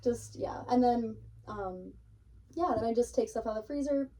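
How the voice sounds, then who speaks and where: A young woman in a casual podcast conversation, with a soft-edged husky voice and a mellow tone. She talks informally and chattily, picked up a little away from the microphone. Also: fairly high pitch, moderate pace, far from the mic, rough recording. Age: young adult. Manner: chatty, informal, frequent "like" filler. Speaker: cake decorator being interviewed.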